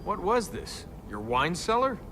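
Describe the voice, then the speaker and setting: Lively, wisecracking roguish adventurer. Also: male voice, high-pitched, unhurried. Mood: wry, casual. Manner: flippant, sardonic, casual. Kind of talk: video game dialogue. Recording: clear background noise.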